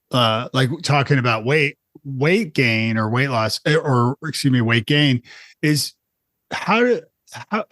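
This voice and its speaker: A man hosts a podcast, talking in a friendly, meandering way with plenty of fillers and self-corrections. His voice sits at an ordinary middle pitch. The sound is dry and close, with no room around it. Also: fairly bright tone, dry recording. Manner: friendly, rambling, self-deprecating, many fillers. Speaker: podcast host. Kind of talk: casual podcast interview.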